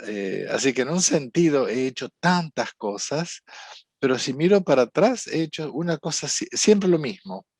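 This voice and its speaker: A man's voice: on the high side, expressive, moderate pace, a little mellow, husky, dry recording, clean studio sound. A middle-aged man, speaking as an interview guest in a thoughtful, rambling way and often ending phrases with a questioning tag. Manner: thoughtful, rambling, frequent "¿no?" tags. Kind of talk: reflective conversational interview.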